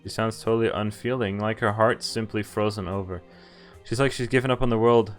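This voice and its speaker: Excitable man reading a visual novel aloud. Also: lowish voice, a little fast, faint music behind. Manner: excitable, thinks aloud, reads story lines in first person. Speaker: streamer playing a visual novel. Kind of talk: live game commentary and reading text aloud.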